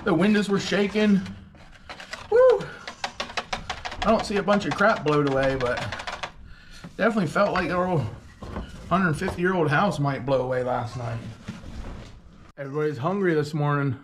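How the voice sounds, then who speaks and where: A man's voice: fairly high pitch, sing-song, normal speed, long pauses, background noise. A man vlogging from his small farm, talking in a plain-spoken, folksy way and thinking aloud as he works. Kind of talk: casual vlog narration.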